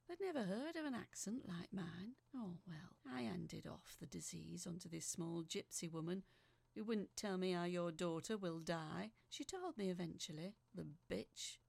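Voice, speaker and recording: A woman playing a feisty woman recounting a strange ordeal in expressive, sharp-tongued audio drama narration. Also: on the low side, creaky, slightly rough, no room sound. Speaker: narrator reading a letter aloud. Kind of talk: dramatic first-person storytelling in audio fiction.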